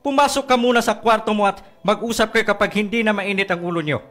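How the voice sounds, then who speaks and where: A man plays a stern, scolding father in a radio drama. His voice is very high for a man, bright and forceful. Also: fast. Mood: stern, angry. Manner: forceful, reprimanding.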